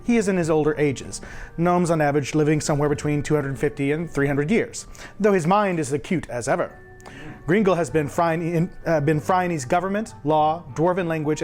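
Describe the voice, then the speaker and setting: A woman's voice: deep voice, darkish tone. A woman acting as game master, narrating scripted fantasy descriptions for a tabletop game.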